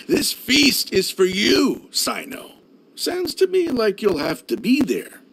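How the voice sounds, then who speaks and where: A man playing an easygoing, confident desert dweller in an anime game, with a relaxed, wry manner. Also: high-pitched, slow pace, gravelly, full character voice, rough recording. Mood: amused.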